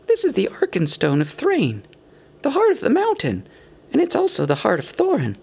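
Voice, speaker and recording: A man voicing a small, fussy, anxious male hobbit from a storybook, squeaky, polite and rambling in a businesslike way. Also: wildly swooping pitch, moderate pace, vocal fry, darkish tone, slightly rough, exaggerated voice. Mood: earnest. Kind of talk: storybook character voice.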